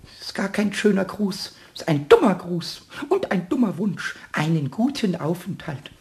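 An actor playing a small, childlike male kobold in a German radio play, cheeky, stubborn and excitable with a squeaky voice. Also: moderate pace. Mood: indignant. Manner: excitable, self-repeating, sing-song when rhyming.

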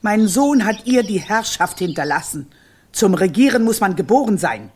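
Radio play role: bossy old witch queen grandmother, husky, lively swings in pitch, curt and commanding. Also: normal speed. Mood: imperious. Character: stern, domineering old witch grandmother ruling a kingdom. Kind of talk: German children's radio play acting.

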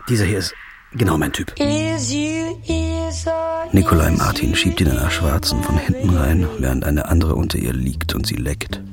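A man's voice: very deep voice, normal speed, creaky, pauses often, loud music behind the voice. A man narrating a first-person crime drama in a weary, clipped, hard-boiled manner. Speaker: narrator and protagonist.

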